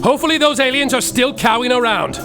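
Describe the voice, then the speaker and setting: A man's voice: extremely high pitch, animated, fast, vocal fry, over loud music. A man narrating and playing a brash, profane detective in a live comedy musical podcast on stage. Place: theater stage before an audience.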